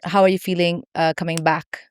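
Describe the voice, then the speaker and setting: A young adult woman from India hosting a podcast interview, upbeat and curious, with quick follow-up questions. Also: on the low side, little pitch movement, clear, resonant tone. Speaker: podcast host.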